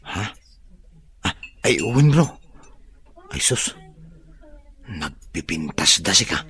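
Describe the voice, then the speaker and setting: A man's voice: deep voice, sing-song, slow, a bit bright, slight background noise, heavily acted. An actor playing an easygoing, teasing young male student in an Ilocano radio drama. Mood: teasing, puzzled.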